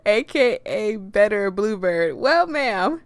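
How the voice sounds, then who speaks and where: A woman hosting a game playthrough with casual, expressive and playful commentary. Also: mid-range voice, slow pace, no room sound. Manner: playful, chatty, expressive reactions. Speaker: host playing a game for viewers.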